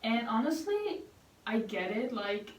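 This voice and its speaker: Young Dutch-accented woman, casual rambling vlogger chat, slightly high voice, distant mic in a roomy space. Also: sing-song, unhurried. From Netherlands. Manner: chatty and rambling, with fillers and self-corrections. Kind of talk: casual chat while painting.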